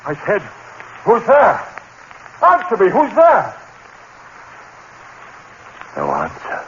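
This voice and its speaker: A man tells a nightmare in the first person in an old radio horror play. His voice is bright and swings widely, leaping up in pitch when fear takes over. The broadcast sounds dull, with a light hiss. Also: wildly swooping pitch, slow pace. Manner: frantic, haunted first-person telling, sudden climbs in pitch. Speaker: narrator and lead in a radio drama. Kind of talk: 1930s radio horror acting.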